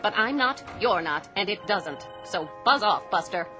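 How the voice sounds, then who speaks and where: Scornful female secretary: sharp bright tone, animated, cutting, radio comedy. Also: medium pitch, normal speed, faint music behind. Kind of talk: comic radio drama acting.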